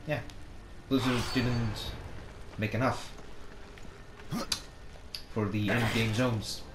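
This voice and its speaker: A man's voice: medium pitch, fairly even intonation, slow pace. A man chatting casually over a game, making wry, crude quips. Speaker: let's play co-host. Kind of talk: casual gameplay chat. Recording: static-y echoey mic.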